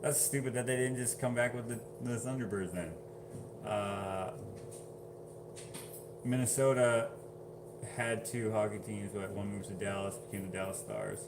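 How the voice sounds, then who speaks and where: A man's voice: lowish voice, fairly even intonation, measured pace, pauses often, background noise. A man casually chatting on a livestream, reading viewer comments aloud and laughing often. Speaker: livestream host.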